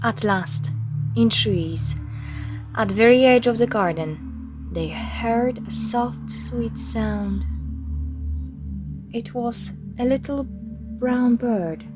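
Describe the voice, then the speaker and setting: A woman narrating a children's story in a measured, expressive read-aloud style. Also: a little high. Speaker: storybook reader. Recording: music playing behind the voice. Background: music.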